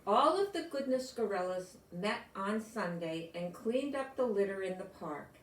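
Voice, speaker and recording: An older woman with an American accent reading a children's story aloud, deliberately and explaining things as if to young listeners. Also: medium pitch, lively intonation. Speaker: storybook reader. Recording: roomy echo, distant from microphone.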